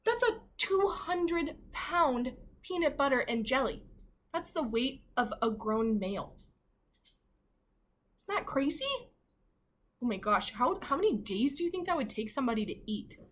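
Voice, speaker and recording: A woman with a fairly high, very animated voice reads like a primary school teacher at story time. Her pitch swings freely as she moves between expressive reading and chatty asides, speaking straight to young listeners. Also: unhurried. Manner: expressive, asks the children questions directly. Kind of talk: animated read-aloud of a children's picture book with asides.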